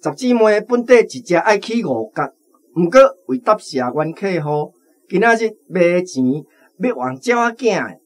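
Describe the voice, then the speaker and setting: An actor with a Taiwanese accent playing a salesmanlike bird-company manager in a radio drama. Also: male voice, high-pitched, big pitch swings, moderate pace. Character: brisk bird-company manager selling chicks.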